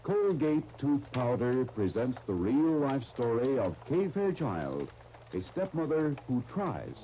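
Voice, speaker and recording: A man announcing and narrating in scripted 1930s radio style, with a polished, measured delivery. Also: mid-range voice, very animated. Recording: muffled, noisy 1939 radio disc recording.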